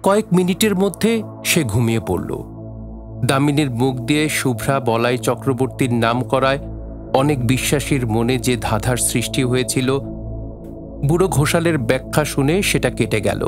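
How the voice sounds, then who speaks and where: A man's voice: mid-range voice, animated, normal speed. A man narrating a scripted dramatic story as the narrator of an audio play. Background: faint music.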